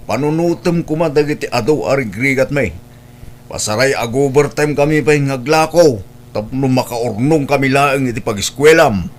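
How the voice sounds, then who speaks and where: A man's voice: mid-range voice, normal speed, lightly acted. A man with an Ilocano Filipino accent playing a proud, easily disappointed father in a family audio drama. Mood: scolding. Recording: clear background noise with hum.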